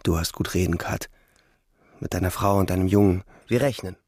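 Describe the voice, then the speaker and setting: Low husky soldier narrator. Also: male voice, a little fast, darkish tone, no echo, studio quality. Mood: bitter, sombre. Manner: reflective, sombre. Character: disillusioned young soldier narrating the war. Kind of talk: literary narration in German radio drama.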